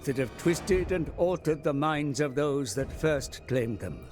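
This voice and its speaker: An actor playing a solemn, sinister advisor in a video game, speaking with portentous, theatrical delivery. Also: male voice, fairly high pitch, lively intonation. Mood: ominous. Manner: slow, portentous. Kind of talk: theatrical scripted game narration. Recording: music behind the voice.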